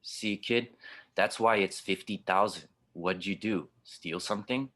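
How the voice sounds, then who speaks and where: A man playing a gruff, cynical cyborg spaceship skipper who talks wryly and probingly in an audio drama table read. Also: on the low side, measured pace, a bit bright. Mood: sly, pressing.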